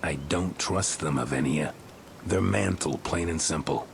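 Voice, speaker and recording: Gravelly, deep-voiced suspicious rebel fighter. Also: male voice, a little slow, slight background noise, heavily acted. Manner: blunt, distrustful. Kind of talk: fantasy video game cutscene acting.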